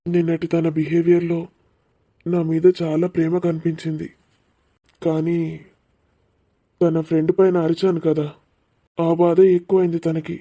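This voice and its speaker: Man playing a soft, dark-voiced lovesick young man. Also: fairly high pitch, natural voice. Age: young adult. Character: lovesick, troubled young son confiding in his father. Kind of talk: animated cartoon dialogue.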